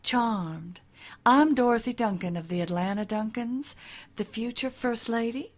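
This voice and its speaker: A woman's voice: a little high, measured pace. Woman playing a coy, entitled society lady. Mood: gracious, breezy. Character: genteel, entitled, flirtatious Southern future First Lady. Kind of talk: comic audio drama acting.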